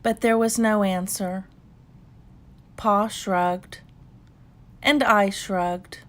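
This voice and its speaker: Very slow-paced woman reading a bedtime story. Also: mid-range voice, fairly even intonation, fairly bright tone. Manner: measured, unhurried storytelling with pauses. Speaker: children's story reader. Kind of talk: calm bedtime read-aloud narration.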